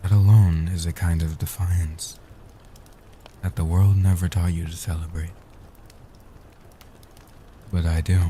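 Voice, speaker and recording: A man plays a gentle, age-old vampire guardian in a comfort audio roleplay. His voice is very low and extremely dark, hushed and soft-spoken. He speaks slowly, solemnly and soothingly in formal phrases, over faint background noise. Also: flat delivery. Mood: tender, reassuring. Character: gentle protective ancient male vampire guardian.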